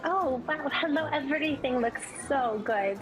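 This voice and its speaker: A woman's voice: sits high, measured pace, poor audio. A woman voicing a polite, needy young traveler who rambles bashfully in a tabletop game. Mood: bashful, hopeful.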